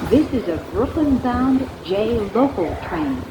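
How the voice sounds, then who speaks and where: A woman giving measured, pre-recorded subway announcements over a train's speakers. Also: expressive, lo-fi sound. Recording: train announcements with loud ride noise. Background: train rumble and ambience.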